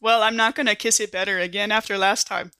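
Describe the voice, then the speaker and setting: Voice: medium pitch, steady tone, quick pace, bright, forceful tone, smooth, no echo. A performer playing a friendly, joking young Marine medic in a sci-fi tabletop roleplay, rambling and informal. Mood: teasing, playful. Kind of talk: improvised in-character tabletop roleplay.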